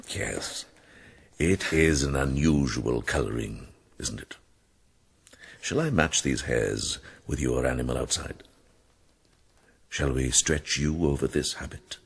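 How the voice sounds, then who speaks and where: An actor playing a shrewd older monk in a radio drama, with a Welsh accent and a deep, husky voice. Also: male voice, heavily acted. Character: wise, shrewd older monk, kindly but relentless sleuth.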